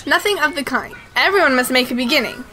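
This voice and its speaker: A woman's voice: high-pitched, expressive, quick pace, sharp tone. A woman playing a prim, patient mother duck with a proper manner in an audio drama. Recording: clear background noise, animal ambience.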